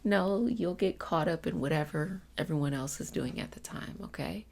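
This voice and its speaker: Low, creaky-voiced woman giving ASMR guidance. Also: lively intonation, moderate pace, darkish tone. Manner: soothing, reassuring, conversational coaching. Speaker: ASMR host and energy healing practitioner. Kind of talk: ASMR self-help talk.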